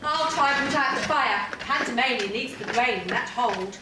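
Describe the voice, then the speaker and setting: A woman's voice: on the high side, animated, normal speed, lightly acted. A woman playing a noble, earnest young prince, the declamatory hero of a stage pantomime. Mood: noble urgency. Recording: very echoey hall, distant mic, noisy.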